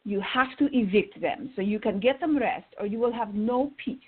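Actress as husky, exasperated older woman friend. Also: a little high, normal speed, narrow, tinny audio. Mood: urging, indignant. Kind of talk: radio theater acting.

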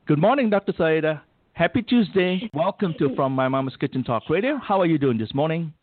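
A man's voice: on the high side, expressive, dry recording. A man hosting a talk radio show, formally introducing and welcoming his guest. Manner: formal, measured and polite. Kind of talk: scripted guest introduction and interview. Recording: thin phone-line sound, dry.

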